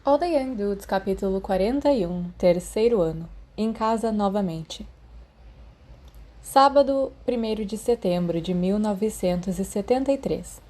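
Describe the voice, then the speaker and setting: A woman's voice: medium pitch, warm tone. A woman with a Brazilian Portuguese accent reading a story aloud as a scripted audiobook narration. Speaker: podfic narrator.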